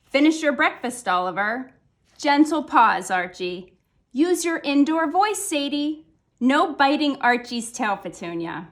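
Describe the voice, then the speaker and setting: A woman's voice: sits high, very animated, unhurried, fairly bright tone, heavily acted. A woman voicing a fussy papa cat who bosses kittens around in a storybook, scolding and emphatic. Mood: gently firm.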